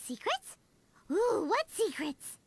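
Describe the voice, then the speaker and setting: A woman voicing a small, curious, outspoken childlike fairy companion in a video game, squeaky and expressive. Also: takes it slowly, full character voice. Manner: exclamatory, expressive, questioning. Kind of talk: video game cutscene acting. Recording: loud background noise.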